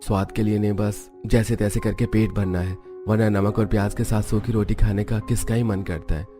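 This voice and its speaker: A man's voice: fairly low pitch, flat delivery, normal speed, over music, natural voice. Man acting a weary, hardworking cartoon family man. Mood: resigned, wistful. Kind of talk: cartoon family drama dialogue.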